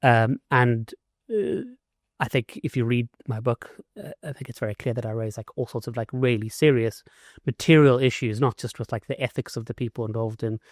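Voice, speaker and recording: A man's voice: mid-range voice, fairly even intonation, normal speed, no echo, clean studio sound. A man from South Africa, an author and investigative journalist, answering interview questions in a casual way, with many "like" and "you know" fillers and restarts.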